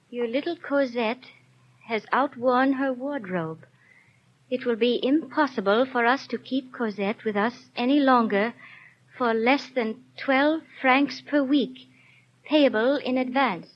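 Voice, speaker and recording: A woman's voice: fairly high pitch, takes it slowly. A woman playing a naive, eager young French working woman in a 1930s radio drama. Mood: reading aloud. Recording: muffled 1930s radio broadcast. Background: music.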